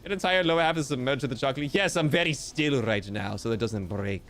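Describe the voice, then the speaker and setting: A man's voice: medium pitch, fast, a bit bright. A man streaming live, reading out viewer comments in a playful, theatrical way with mock-grandiose joking. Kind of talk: casual livestream chat reading viewer comments.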